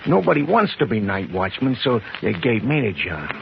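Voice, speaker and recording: An actor playing a simple-minded, timid gangster's sidekick in a radio drama, childlike, earnest and deferential. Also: male voice, fairly low pitch, wildly swooping pitch, normal speed, creaky, exaggerated voice. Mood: meek, earnest. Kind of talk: 1950s radio drama acting. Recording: dull, noisy vintage 1950s radio recording.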